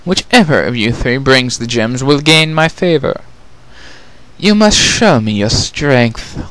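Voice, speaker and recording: A man's voice: mid-range voice, expressive, slow, fairly bright tone, pitched above the performer's own voice, poor audio. A man voicing a stern, imperious changeling queen and mother with a cold, commanding manner in a storybook reading.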